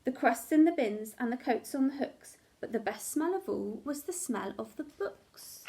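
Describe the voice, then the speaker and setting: A woman with a high voice reads a picture book aloud as a teacher would to young children. Her delivery is dramatic and expressive, shaped for small listeners. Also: normal speed, a little hiss or hum. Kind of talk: expressive storybook narration.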